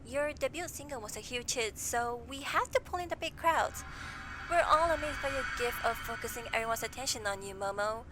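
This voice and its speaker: A woman's voice: fairly high pitch, lively intonation, fairly quick, over music, lightly acted. A woman voicing a chirpy, upbeat talent manager in an anime dub. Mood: chirpy, businesslike.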